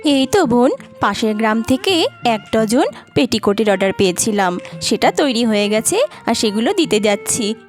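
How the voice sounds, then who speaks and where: Casting: actress as humble young village wife for cartoon, high voice, courteous, sincere, patient; faint music behind. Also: female voice, expressive, normal speed, natural voice. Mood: friendly, eager. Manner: polite, earnest, reassuring. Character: patient, earnest young village daughter-in-law seamstress. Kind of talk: cartoon voice acting.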